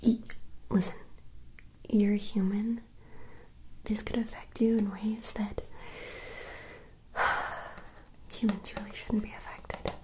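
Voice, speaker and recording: Girl doing an asmr roleplay as a whispery fantasy lady lost in modern stuff, slow and mellow, dramatic and playful. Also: medium pitch, little pitch movement, natural voice, rough recording. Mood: teasing. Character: whispering fantasy woman puzzled by modern things.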